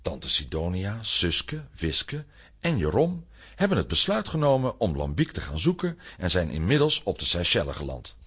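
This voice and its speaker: A man's voice: deep voice, huge pitch swings, moderate pace, a bit bright, breathy edge. A man narrating a children's radio play in a scripted, dramatic storytelling style.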